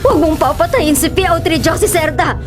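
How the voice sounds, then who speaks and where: A middle-aged mother in a radio melodrama, anguished and fiercely protective of her children. Her voice is very high and full of emotion, with music clearly behind it. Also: normal speed. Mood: desperate pleading. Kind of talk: radio drama acting.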